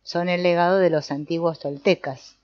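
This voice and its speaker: A woman voicing an old male sorcerer teacher, calm and didactic, speaking in a measured, explanatory way with the odd small restart. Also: on the low side, no room sound, close to an everyday voice. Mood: explaining. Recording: dull, muffled audio.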